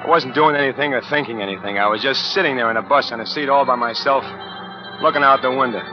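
A man acts out a tough small-time crook on the run in a 1940s radio thriller. He talks in clipped slang with a jittery edge, at a middling pitch. The old recording is muffled, with music underneath. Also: quick pace, lightly acted. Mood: reflective. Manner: slangy, clipped, hard-boiled. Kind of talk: 1940s radio noir acting, first-person narration.

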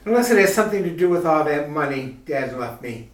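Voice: medium pitch, lively intonation, fairly quick, lightly acted. An actor playing a nervous young male groom in a 1940s-style radio play, with earnest, anxious pleading. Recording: echoey room, distant mic.

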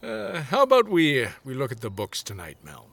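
A man's voice: mid-range voice, huge pitch swings, moderate pace, vocal fry, fairly bright tone, exaggerated voice. A man playing an earnest middle-aged dad. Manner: earnest, hesitant. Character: earnest middle-aged farmer father, well-meaning.

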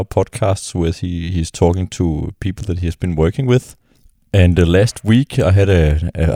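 A middle-aged man, hosting a casual solo podcast from his couch at home. His voice is lowered and husky after a weekend of singing, and he talks haltingly, with many restarts. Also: dark tone, no echo, studio quality. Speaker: podcast host.

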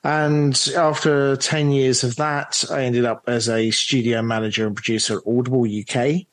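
A man's voice: medium pitch, steady tone, moderate pace. A man with an English accent, speaking casually as an interview guest. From England. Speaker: interview guest, audio drama director.

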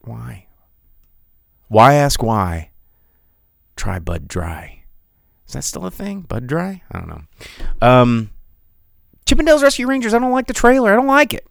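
A man's voice: medium pitch, unhurried, creaky, pauses often, no echo, studio quality. A man hosting a podcast, ranting casually about movies with lots of restarts and stumbles. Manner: rambling, animated rants with many restarts. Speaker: podcast host. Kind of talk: casual solo movie commentary.

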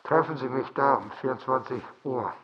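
A man's voice: medium pitch, moderate pace. A man playing a secretive, curt whistleblower in a noir detective audio drama, speaking through a telephone. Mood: secretive, commanding. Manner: terse, commanding, cryptic. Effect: telephone filter. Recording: dull muffled telephone line.

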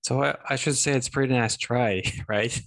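A man's voice: mid-range voice, moderate pace, a bit bright. A man hosting a podcast, introducing and interviewing a guest. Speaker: podcast host.

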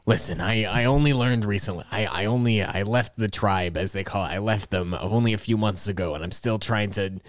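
A man playing an eccentric elderly retiree in his eighties on an improvised comedy show, halting, repetitive and pleading as he insists he is still young. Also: on the low side, dry recording, close to an everyday voice. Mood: wistful, rueful. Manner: halting, rambling, repeats himself pleadingly. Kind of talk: improvised comedy character interview.

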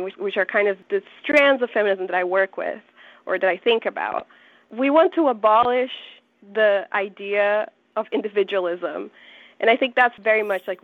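A woman's voice: mid-range voice, no echo. A woman from Brazil, a journalist and researcher, answering interview questions in an earnest, thoughtful way, building her points with restarts. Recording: tinny phone line.

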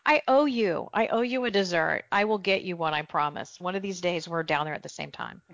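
A woman co-hosting a casual podcast as a travel agent, chatty and animated, laughing easily. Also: on the low side, normal speed, gravelly, no echo. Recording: dull, dry podcast audio.

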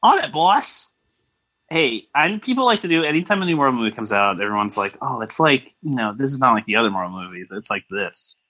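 A man's voice: mid-range voice, sing-song, brisk, sharp tone, low-quality recording. A man co-hosting a podcast, riffing sardonically in casual comedic chat. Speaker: podcast co-host.

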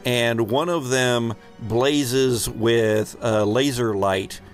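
A man hosting a tabletop game as game master, improvising descriptive, dramatic narration in a halting way. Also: medium pitch, fairly even intonation, soft background music.

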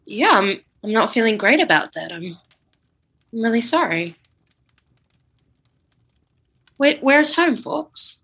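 Comedy sketch: oblivious old wizard headmaster woman performing, bright-toned, casual, chatty, stumbles over words. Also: a little high, sing-song, unhurried, husky, full character voice. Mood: apologetic. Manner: stammering, informal, slangy. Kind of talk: improvised comedy audio sketch.

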